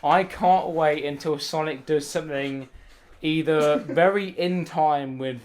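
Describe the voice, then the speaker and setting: Man, slightly high pitch, casual film banter, emphatic, sweary, repetitive phrasing. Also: a little slow, crowd noise. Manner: sweary, emphatic, repeats himself. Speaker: podcast co-host.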